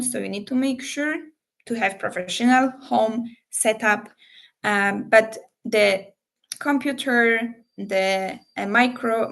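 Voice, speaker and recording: A woman hosts a live recruiting Q&A and talks through the job at a slow, deliberate pace. Her voice carries a gravelly, creaky edge. She fills pauses with frequent ums and uhs, and her phrasing is slightly non-native. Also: medium pitch. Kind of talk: live Q&A, explaining job details.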